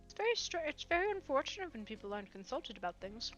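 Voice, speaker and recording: A woman with a bright, sharp tone who talks bluntly and drily, with a sarcastic edge. Her voice is somewhat higher than most. The sound is a little dull, with faint music underneath. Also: very animated, quick pace. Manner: dry, blunt, a bit sarcastic. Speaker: streamer playing her character. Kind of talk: in-character roleplay chat.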